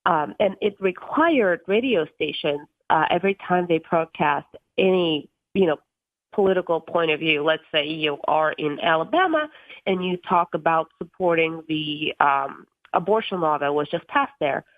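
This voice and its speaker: A woman's voice: deep voice, expressive, normal speed, no room sound. Woman in her twenties on a tinny phone line. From Russia. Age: 20s. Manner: earnest, many ums and uhs, occasional laughs. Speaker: guest from a tech policy group. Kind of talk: radio interview on a phone line.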